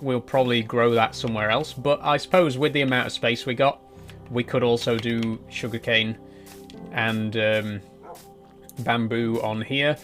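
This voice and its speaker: A man in his twenties streaming a building game, chatting casually and answering viewers' messages as he plays. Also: medium pitch. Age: 20s. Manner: relaxed, rambling, answers chat messages. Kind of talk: casual live-stream chat while playing.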